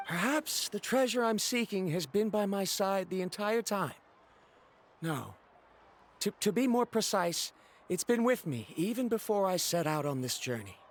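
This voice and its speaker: An actor playing a stubborn, earnest scholar in a video game, speaking in a formal, precise way. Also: male voice, high voice. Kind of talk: game voice acting.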